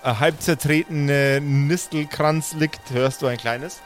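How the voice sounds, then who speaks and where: A man's voice: a little high, fairly even intonation, slow pace, faint music behind. A man narrating and bantering as game master of an improvised tabletop game.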